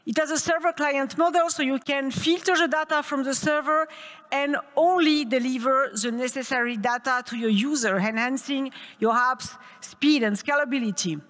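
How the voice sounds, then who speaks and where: High-voiced French woman giving a talk. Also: normal speed, a bit bright. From France. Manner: upbeat, asks the audience questions. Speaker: conference speaker. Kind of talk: tech conference talk. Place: conference hall. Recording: reverberant hall.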